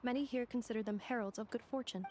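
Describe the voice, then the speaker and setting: A woman voicing a polite, precise female robot guide in a video game, speaking in a formal, measured, analytical manner. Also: a little high, darkish tone, a little hiss or hum, close to an everyday voice. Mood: calmly informative. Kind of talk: video game character dialogue. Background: game music.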